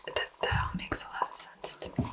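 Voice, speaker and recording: A woman whispering in a casual ASMR chat, reflective and agreeable, with halting phrasing. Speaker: host receiving a tarot reading.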